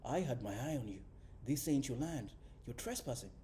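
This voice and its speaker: A man's voice: mid-range voice, animated, moderate pace, dark tone, natural voice. A man voicing a hostile, contemptuous rural lawman in a storybook reading, blunt and folksy. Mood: angry, threatening. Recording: faint background noise.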